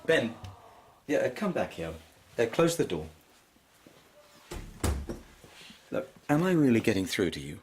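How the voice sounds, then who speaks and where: A man acting in an audio drama as a stern, concerned schoolmaster, measured and probing as he questions a pupil. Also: medium pitch, sing-song, darkish tone, a little hiss or hum, lightly acted. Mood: concerned, urging.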